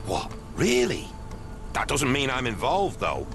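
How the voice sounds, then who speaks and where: Crooked game customs officer: defensive, evasive, mid-range voice, clear music underneath. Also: male voice, medium pitch, huge pitch swings, unhurried. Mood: indignant, nervous. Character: shifty, defensive corrupt customs officer at Victorian docks. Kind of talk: video game cutscene acting. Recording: music behind the voice.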